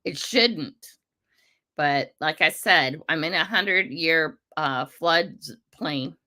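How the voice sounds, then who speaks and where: An older American woman hosting a casual livestream, greeting viewers by name and rambling along, her voice rough and congested from a cold. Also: sits low, slow. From United States. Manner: chatty, rambling, warm greetings to commenters. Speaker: livestream host.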